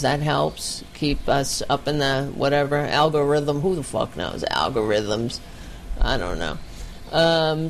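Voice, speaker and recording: A woman with a very low, deep voice hosts a casual online show over a video call. She talks bluntly and swears freely. A faint hiss of background noise sits under her voice. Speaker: podcast host. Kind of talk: casual livestream chat.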